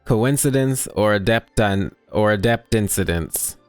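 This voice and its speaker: A woman giving casual, slangy game playthrough commentary with a sassy, deadpan manner. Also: a bass voice, unhurried, smooth, no room sound. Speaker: gameplay streamer. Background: faint game music.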